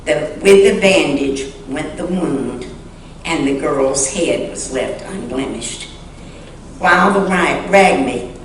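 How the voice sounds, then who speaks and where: A woman reading a story aloud dramatically and earnestly, with deliberate pauses, in a church sanctuary. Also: low-pitched. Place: large indoor church sanctuary. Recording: echoey church sanctuary, distant mic, faint noise.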